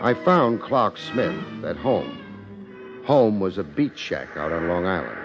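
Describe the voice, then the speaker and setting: An old, dull-sounding radio recording of a hard-boiled detective. His voice sits fairly low and moves in lively swings. He is wry and clipped, persuasive in the manner of 1950s radio drama. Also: slow pace, loud music behind the voice, exaggerated voice. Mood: wry, brisk narrating. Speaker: lead actor and narrator of detective drama. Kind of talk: 1950s radio detective acting, narration and dialogue.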